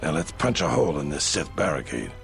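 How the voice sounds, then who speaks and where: A man's voice: very deep voice, sing-song, a little fast, vocal fry, exaggerated voice. A man playing a commanding military squad leader rallying his soldiers in a video game. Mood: urgent, commanding. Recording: music behind the voice.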